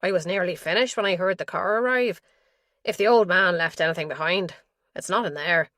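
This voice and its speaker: A woman narrator voicing a crude male henchman who reports roughly and defers to his boss. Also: lowish voice, a little fast, fairly bright tone, lightly acted. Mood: coarse exasperated. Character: crude male henchman, blunt hired thug.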